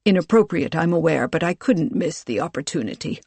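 A narrator voicing a towering, commanding military ruler in an audiobook, with a loud, booming delivery and formal, measured speech. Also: expressive, creaky, husky, dry recording. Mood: amused, firm. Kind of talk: audiobook character dialogue.

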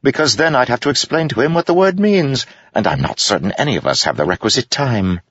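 A quick-tongued court jester pitched high and swooping, delivering cutting insults with theatrical relish, as a male narrator puts him on. The recording is dry and a little dull, with no room sound. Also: lively intonation, fairly bright tone, husky, no echo. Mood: gleeful.

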